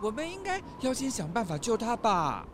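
A man's voice: extremely high pitch, wildly swooping pitch, moderate pace, noisy background, exaggerated voice. A man playing a blunt, touchy pair of pliers as a living character in a children's audio drama. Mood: reasoning, firm. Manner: blunt, matter-of-fact, touchy. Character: blunt male pliers character, a talking tool, defensive.

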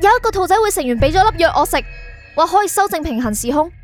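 Woman acting a high, rapid-talking hunted young woman. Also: animated. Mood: pleading, insistent. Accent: Hong Kong Cantonese. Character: confused, defiant young woman hunted as fugitive soul. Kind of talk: radio drama acting and first-person narration. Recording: music bed behind the voice.

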